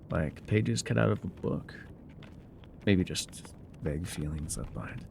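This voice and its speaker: Man playing a low, gravelly frontier guide. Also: darkish tone, a little hiss or hum, heavily acted. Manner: unhurried, musing, folksy, trailing pauses. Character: laconic, weathered male frontier guide, calm and haunted. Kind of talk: immersive ASMR roleplay monologue.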